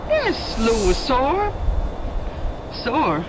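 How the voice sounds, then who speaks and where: An American actress playing an anxious, defensive wife, hesitant and nervous, in an old-time radio drama. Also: female voice, high-pitched, wildly swooping pitch, drawn-out words, background noise, exaggerated voice.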